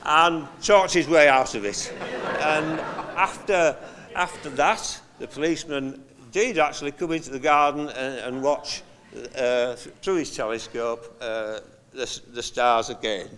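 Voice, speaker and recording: An older man giving a halting, conversational memorial eulogy, telling anecdotes from notes with frequent pauses. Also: medium pitch, a crowd in the background. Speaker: eulogy speaker, friend of the deceased. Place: large hall at a memorial service. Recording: long hall reverb.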